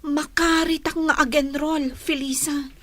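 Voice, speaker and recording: An actress playing a young student, a loyal and plaintive friend, in a radio drama. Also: female voice, high voice, flat delivery, a little slow. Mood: hurt, agreeing. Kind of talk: Ilocano radio drama acting.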